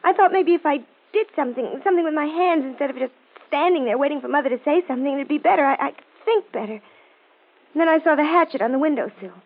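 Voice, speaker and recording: Overwrought teenage girl, lovesick, high and stammering, old radio melodrama, muffled sound. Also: quick pace. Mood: nervous, confessing. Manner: stammering, repeating phrases. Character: overwrought seventeen-year-old girl, lovesick and defiant, confessing. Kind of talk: 1940s American radio crime drama acting.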